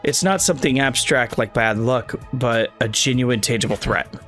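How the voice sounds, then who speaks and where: A man voicing a helpful, earnest ghost boy from a video game, explaining things in a deliberate, halting way. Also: medium pitch, a bit bright, close to an everyday voice. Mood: earnest, explanatory. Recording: game music playing behind the voice.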